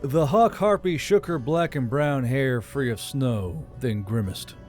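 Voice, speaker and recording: A man's voice: medium pitch, unhurried, a little mellow, faint music behind. A man reading scripted fantasy audiobook narration as a storyteller.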